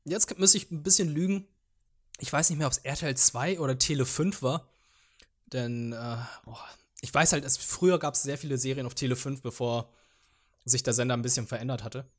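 A man's voice: medium pitch, normal speed. A young man whose first language is Vietnamese, co-hosting a podcast and recalling things in a meandering, hesitant way. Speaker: podcast co-host. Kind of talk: casual chat.

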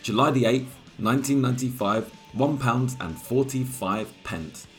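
A man hosting a podcast, chatting casually with lots of fillers, his voice a little croaky. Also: medium pitch, normal speed, fairly bright tone, faint music behind. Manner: chatty, rambling, with frequent fillers. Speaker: podcast host.

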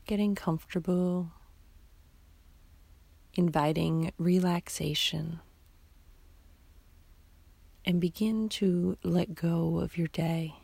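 A woman guiding a sleep meditation in a soft, half-whispered, soothing voice, leaving long pauses between short phrases. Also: on the low side, fairly even intonation, vocal fry, slightly rough, no echo. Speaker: meditation guide.

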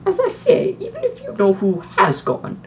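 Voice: very high voice, wildly swooping pitch, moderate pace, slight background noise, voice pushed up, heavily acted. A reader voicing a strict older woman professor who speaks in a clipped, impatient way. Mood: irritable. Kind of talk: audiobook reading.

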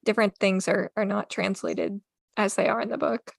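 A woman's voice: mid-range voice, fairly even intonation, moderate pace, vocal fry, no room sound, studio quality. A woman co-hosting a podcast, talking casually as she discusses a book. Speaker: podcast co-host.

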